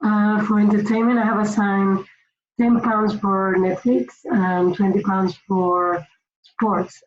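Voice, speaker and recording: Spanish-accented woman in an echoey room. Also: medium pitch. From Spain. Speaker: finance consultant giving budgeting tips. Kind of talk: informal instructional talk to camera. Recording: roomy echo, dull lo-fi sound, faint noise.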